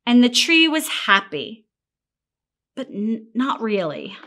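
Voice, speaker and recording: A woman narrating a children's picture book in an expressive, deliberate way. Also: a little high. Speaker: storybook reader. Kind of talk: scripted children's story narration.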